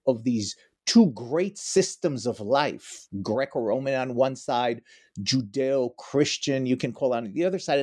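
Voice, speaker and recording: A man's voice: medium pitch, a little mellow. A middle-aged man from Israel, a history professor giving long explanatory answers in an interview.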